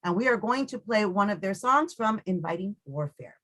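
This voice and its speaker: A woman's voice: medium pitch, lively intonation, normal speed, fairly bright tone. Female radio interviewer, conversational and loose, rambles informally between points. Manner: informal, rambling, frequent "you know". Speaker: radio show host, interviewer.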